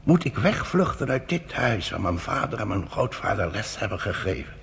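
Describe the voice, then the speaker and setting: A man's voice: fairly low pitch, big pitch swings, moderate pace, creaky, fairly bright tone, slight background noise, full character voice. An actor playing an anguished middle-aged professor, a father of three, pleading in a radio play. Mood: resigned. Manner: pleading, desperate, voice breaking upward. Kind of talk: radio drama acting.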